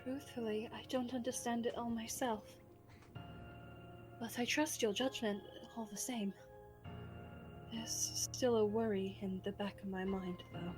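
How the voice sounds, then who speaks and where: Devoted, gentle bride female performer for romance roleplay; formal, refined phrasing, raised pitch, faint music behind. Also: steady tone, measured pace, pauses often, natural voice. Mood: gentle, concerned. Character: gentle, devoted bride, genteel and politely firm. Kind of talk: romantic audio roleplay drama.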